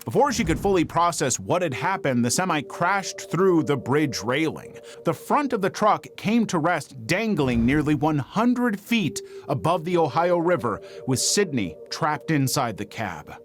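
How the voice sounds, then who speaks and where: A man reading scripted true-crime documentary narration in a dramatic, measured storytelling manner. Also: fairly high pitch, very animated, slight background noise. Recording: faint music bed under narration. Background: background music.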